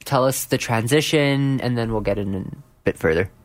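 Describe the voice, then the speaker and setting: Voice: medium pitch, normal speed. A campus radio host introducing guests in a loose, self-deprecating way with many hesitations.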